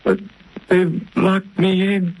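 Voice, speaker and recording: A man's voice: high voice, monotone, slow pace, creaky, a little mellow, a little hiss or hum, exaggerated voice, rough recording. An actor playing a young man who is dazed under a spell and answers breathlessly in the style of 1930s radio drama. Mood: entranced.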